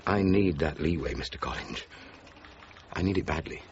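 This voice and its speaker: An actor playing a courteous, methodical police chief inspector who questions politely and deliberately in a radio crime drama. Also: male voice, rumbling low, highs cut off, a little hiss or hum. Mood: polite, firm.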